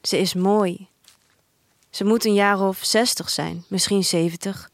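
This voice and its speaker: A woman's voice: medium pitch, steady tone, a little slow, dry recording, close to an everyday voice. An actress playing a troubled woman who tells a bleak future tale in an introspective, dramatic monologue for an audio drama. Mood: somber, reflective. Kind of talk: literary first-person audio drama monologue.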